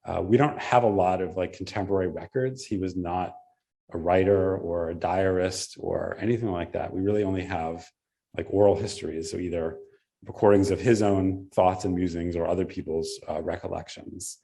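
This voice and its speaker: A man presenting an academic paper at a symposium, then answering a question with pauses and restarts. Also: sits low, fairly even intonation, creaky, mellow. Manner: measured, with frequent fillers and restarts in answers.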